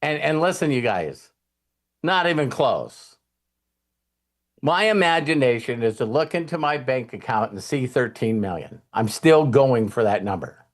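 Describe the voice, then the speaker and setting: A man's voice: medium pitch, big pitch swings, unhurried. A man hosting a casual motivational talk to a group, speaking informally and checking in with his listeners.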